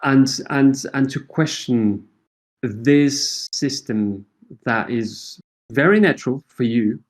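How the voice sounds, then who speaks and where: A man's voice: medium pitch, lively intonation, slow. A middle-aged man with a Portuguese accent, a native Portuguese speaker, answering interview questions thoughtfully with many fillers and restarts. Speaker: associate professor, guest.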